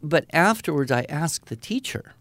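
A middle-aged man co-hosting an education podcast, telling stories in a relaxed, conversational way with many small fillers. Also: fairly high pitch, normal speed, dry recording. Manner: relaxed anecdotal, frequent "uh" and "you know". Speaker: podcast co-host, writing educator. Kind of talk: casual conversational storytelling.

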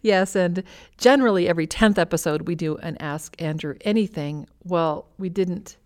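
A woman's voice: fairly low pitch, normal speed, darkish tone. A woman hosting a podcast, speaking articulately in conversation and putting questions to her guest. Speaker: podcast host and interviewer. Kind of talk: hosted podcast conversation, reading listener questions.

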